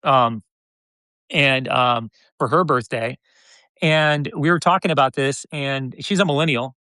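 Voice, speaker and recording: An American man in his fifties talking casually as an interview guest, often halting and restarting his phrases. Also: mid-range voice, bright, forceful tone, clean tone, no echo. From United States (Detroit). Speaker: podcast guest, content creator.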